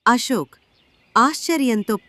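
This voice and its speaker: A woman's voice: a little high, slow, bright, forceful tone. A woman narrating a scripted moral tale as its storyteller.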